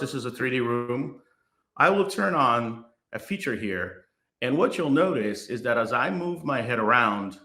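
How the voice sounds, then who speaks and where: Bright-voiced man giving a remote keynote. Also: medium pitch, roomy sound. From Guyana. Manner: measured, with frequent fillers and restarts. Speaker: keynote speaker. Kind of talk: remote keynote presentation with demo. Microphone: computer mic on video call.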